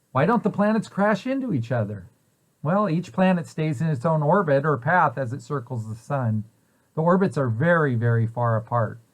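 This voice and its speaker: A man's voice: on the high side, low-quality recording. A man reading a children's picture book aloud in an explanatory way, posing questions and then answering them. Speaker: preschool site director reading aloud to children.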